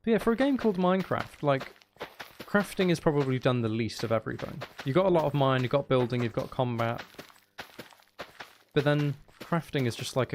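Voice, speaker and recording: A man's voice: mid-range voice, a little hiss or hum. A man streaming a video game, chatting casually in a rambling, hesitant way as he thinks aloud. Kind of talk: casual live-stream chat while playing.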